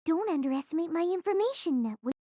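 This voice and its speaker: A woman's voice: extremely high pitch, animated, fast, mellow, no room sound, clean studio sound. A woman voicing a nosy, gossiping schoolgirl who pries at a classmate with teasing questions. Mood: teasing, prying.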